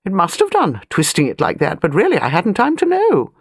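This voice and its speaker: A woman's voice: lowish voice, wildly swooping pitch, brisk, breathy edge, no room sound, clean studio sound, voice pushed up, exaggerated voice. A woman voicing an imperious, chatty elderly English aristocrat in clipped, emphatic phrases for an audiobook. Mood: blunt, pleased. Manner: clipped, dropped-subject, emphatic speech.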